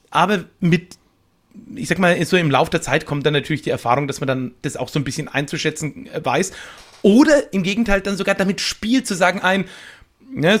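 A man chatting on a podcast as a guest, explaining things reflectively in loose, winding sentences. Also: high voice. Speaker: podcast guest, improv stage performer. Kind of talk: casual podcast conversation.

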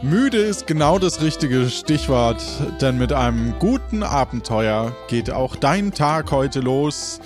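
A man's voice: mid-range voice, animated, slow, few pauses, over music. A man hosting and narrating an improvised audio adventure as game master, in a playful, dramatic way.

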